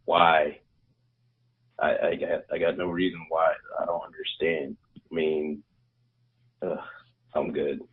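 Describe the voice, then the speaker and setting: Deep husky man on a phone line. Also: level tone, takes it slowly, long pauses. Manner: blunt and sarcastic. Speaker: podcast co-host. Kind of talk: casual movie podcast chat.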